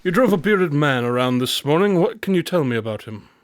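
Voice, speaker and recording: An actor playing a shrewd, composed detective in an audio drama, speaking in a measured, analytical and assured way. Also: male voice, on the high side, animated, a little hiss or hum, natural voice. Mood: probing, composed.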